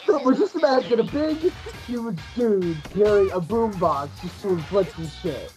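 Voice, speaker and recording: A casual commentator with a medium-pitched voice talks through a video game with others. The delivery is informal and full of interjections, with game music clearly heard behind it. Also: animated, normal speed. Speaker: gaming commentator. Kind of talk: casual group playthrough commentary.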